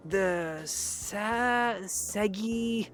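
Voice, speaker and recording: A woman's voice: medium pitch, extremely slow, faint music behind. A woman playing a polite, eager, slightly flustered hostess in a comedic audio drama. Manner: earnest, hesitant, searching for words.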